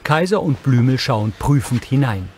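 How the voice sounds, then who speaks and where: A man's voice: mid-range voice, animated, moderate pace, a little mellow, slight background noise. A man reading scripted scene descriptions as an audio description narrator, matter-of-fact in delivery.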